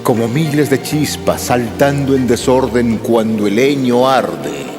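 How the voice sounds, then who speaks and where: A man reciting romantic poetry in a measured, tender declamatory style. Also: mid-range voice. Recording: recitation over loud soft music. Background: new-age music.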